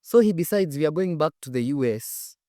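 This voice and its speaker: A man's voice: on the high side, lively intonation, a little fast, mellow, no room sound, clean studio sound. A man with a Kenyan accent hosting a casual, dramatic storytelling show, speaking conversationally and emphatically and repeating phrases for effect. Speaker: storytelling host.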